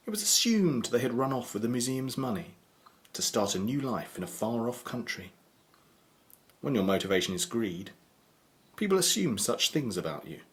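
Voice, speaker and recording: Expressive, grave horror storyteller. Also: male voice, medium pitch, normal speed. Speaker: narrator. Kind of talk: scripted dramatic story narration.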